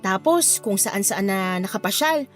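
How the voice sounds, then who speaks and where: A woman playing a smitten, bashful young hotel receptionist in chatty, animated radio drama banter. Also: mid-range voice, a little slow, close to an everyday voice. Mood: bashful, resigned. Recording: music under the voice.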